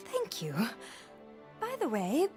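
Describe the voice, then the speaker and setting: Refined, eager adventurer mom, bright and high with lots of lift. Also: female voice, wildly swooping pitch, slow pace, music in the background, exaggerated voice. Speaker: mother and adventurer. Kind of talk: animated series acting.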